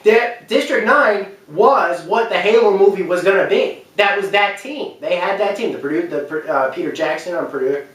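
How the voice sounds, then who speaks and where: A man's voice: high-pitched, a bit bright. A man giving an unscripted opinion talk as a commentator, speaking emphatically and repeating phrases for stress. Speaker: video commentator. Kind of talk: unscripted opinion talk about film and games. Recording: very echoey room, distant mic.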